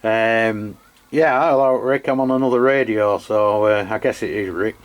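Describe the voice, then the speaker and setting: Halting English man chatting about radios. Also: lowish voice, heavy background noise. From England. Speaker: amateur radio channel host. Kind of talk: casual unscripted radio chat and demo. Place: beside his radio set, filming the equipment.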